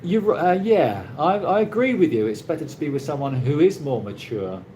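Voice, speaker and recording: A British man hosting a casual live chat, reading out viewer comments and answering in a rambling, halting way with many restarts. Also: a little high, very animated, mellow. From Britain. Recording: noisy ambient background.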